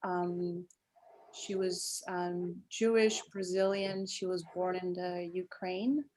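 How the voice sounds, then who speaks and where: A woman's voice: fairly low pitch, steady tone, slow pace, a little mellow, low-quality recording. Hesitant woman from Portugal on a call. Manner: hesitant, many ums and restarts. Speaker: guest. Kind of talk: casual video-call chat. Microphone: video call.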